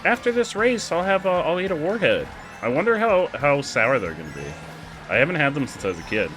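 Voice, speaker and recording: A young man casually commenting on a kart race while chatting with his viewers, excitable and quick to laugh. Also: a little high, soft background music. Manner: excitable, giggly, chats with viewers. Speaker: streamer.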